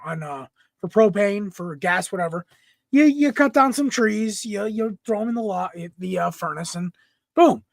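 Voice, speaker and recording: A young man hosting a casual sports podcast from home, a little raspy from smoke, rambling and clearing his throat often. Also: high-pitched, a bit bright, no room sound. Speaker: podcast host. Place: room at home. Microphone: computer mic, no headset.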